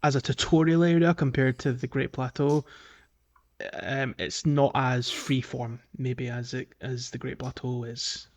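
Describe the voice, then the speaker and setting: A man's voice: mid-range voice, steady tone, a little slow. Hesitant man podcasting in dull lo-fi sound. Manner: hesitant, self-correcting phrasing. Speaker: podcast co-host. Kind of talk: casual gaming discussion.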